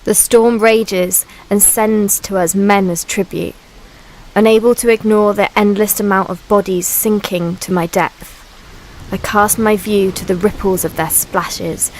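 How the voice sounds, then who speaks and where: Slow, solemn woman voicing the Sea as narrator. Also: mid-range voice, slight background noise, low-quality recording. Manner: grave, poetic, deliberate. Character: the ancient Sea itself, solemn, brooding female narrator. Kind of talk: scripted dramatic narration in a radio play. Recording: steady rain and water ambience behind.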